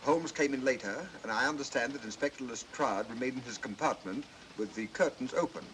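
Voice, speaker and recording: Casting: man to play genial, clever companion, mid-range voice, smooth talker, old film soundtrack with light noise. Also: mid-range voice, brisk, fairly bright tone, close to an everyday voice, rough recording. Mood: analytical. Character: urbane, affable, quietly shrewd gentleman, doctor's drinking companion. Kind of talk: 1940s mystery film acting.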